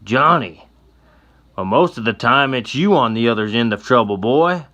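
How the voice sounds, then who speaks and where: A man voicing a crooked, blustering old-West sheriff who barks his words loudly and with scorn. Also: mid-range voice, animated, moderate pace, lo-fi sound. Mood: mocking. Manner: loud, gruff, scornful barking.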